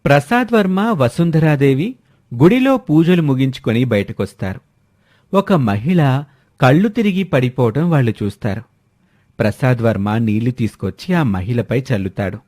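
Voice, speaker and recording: A man's voice: mid-range voice, expressive, normal speed, darkish tone, dry recording, studio quality. A man narrating a scripted moral story as a storyteller.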